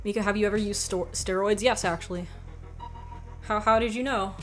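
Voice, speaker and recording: A young woman with a quiet voice hosting a livestream, reading chat and chatting frankly in a rambling way, with lots of "like". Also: medium pitch, fairly even intonation, a little fast, faint music behind. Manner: rambling, frank, laughs often, says "like" a lot. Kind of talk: casual chat.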